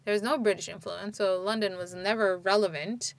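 A woman's voice: medium pitch, steady tone, fairly quick, vocal fry. A woman in her forties, from Turkey, telling her story in a casual conversation, rambling and restarting her sentences. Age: 40s.

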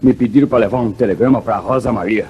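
A man's voice: lowish voice, fast talker, phone-quality sound. An actor playing a chatty, greedy, easily scared henchman in an old radio western, with a colloquial Brazilian accent. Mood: eager. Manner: colloquial, eager informer. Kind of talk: old radio serial acting. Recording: rough vintage radio drama recording.

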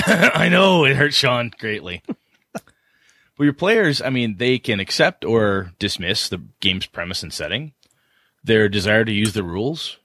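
Laughing man with a low voice. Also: fairly bright tone, no room sound, studio quality. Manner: laughs often, restarts sentences. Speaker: podcast co-host. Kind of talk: casual podcast chat.

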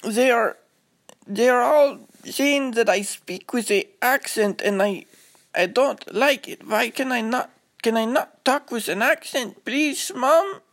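A man plays a small, tearful boy in a children's story, his voice pitched way up. He whines and pleads like a hurt child running to his mother. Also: slow pace, a bit bright, exaggerated voice. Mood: tearful, pleading. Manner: whiny, pleading.

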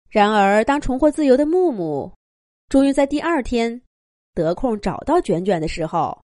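A woman reads a fairy tale for children as its narrator. Her voice is somewhat high and very animated, and the recording is dry with no room sound. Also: dry recording.

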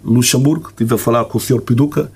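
A man talks quickly, his voice carrying a gravelly edge. He is a community leader and radio studio guest, rambling through stories with restarts and hurried asides. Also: medium pitch, fairly even intonation, a little mellow. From Cape Verde. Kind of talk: casual radio interview.

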